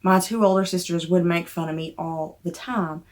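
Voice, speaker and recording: A Southern woman in her thirties talks straight to the camera in a loose, rambling vlog style, with a fairly low-pitched voice. A slight difficulty with the letter R surfaces now and then, and she comes across candid and a little self-deprecating. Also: steady tone, normal speed, darkish tone. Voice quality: mild trouble saying R. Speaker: novelist vlogger. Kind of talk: casual talk to camera.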